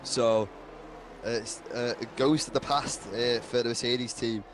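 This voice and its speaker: Measured male racing co-commentator. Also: medium pitch, steady tone, background noise. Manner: analytical, measured explanations. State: dry throat, losing voice. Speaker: co-commentator and driver. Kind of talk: sim racing colour commentary.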